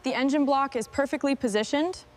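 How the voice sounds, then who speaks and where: A woman's voice: high-pitched, a little fast, a little mellow. A woman giving scripted narration of a restoration job in short statements.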